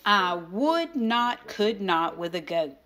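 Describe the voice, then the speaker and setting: A woman's voice: medium pitch, sing-song, slow, fairly bright tone, soft background music. A woman as a grumpy, sing-song storybook grouch. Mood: exasperated protest. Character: grumpy, stubborn storybook grouch refusing food, exasperated. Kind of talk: expressive children's picture book read-aloud.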